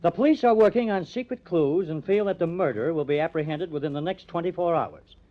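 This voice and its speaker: A man's voice: a little high, quick pace, close to an everyday voice. An actor playing a cynical young male newspaper artist with an affected, wry manner in an old-time radio crime drama, on a muffled old recording. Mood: wry, mocking. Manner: sardonic, affected, theatrically wry.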